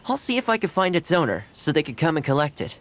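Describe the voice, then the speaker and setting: A young man voicing a kind, upbeat housekeeper in a video game, speaking in a gentle, friendly, earnest way. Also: on the high side, big pitch swings, fast talker. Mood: friendly, thoughtful. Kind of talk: video game character voice acting. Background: background music.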